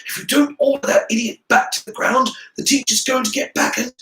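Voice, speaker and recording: Man as a clever schoolboy, raised pitch. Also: fairly even intonation, fairly quick, sharp tone, slight background noise. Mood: tense warning. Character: clever, controlled, scheming young schoolboy hero. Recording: roomy, echoing room sound.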